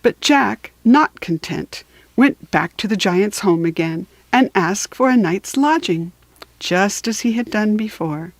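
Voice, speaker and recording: A woman reading a children's story aloud for beginning readers, measured and deliberate, with storytelling emphasis. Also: medium pitch, animated, no room sound. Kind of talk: slow, careful read-aloud for beginning readers.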